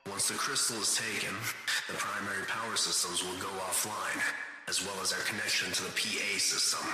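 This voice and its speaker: A low, sharply bright male voice acted by a man rings out with long reverb over a facility PA. He is a calm, businesslike dispatcher in a video game, giving formal instructions in a measured, matter-of-fact way. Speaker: voice actor. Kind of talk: scripted game announcements. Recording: heavily echoing PA announcement, long reverb.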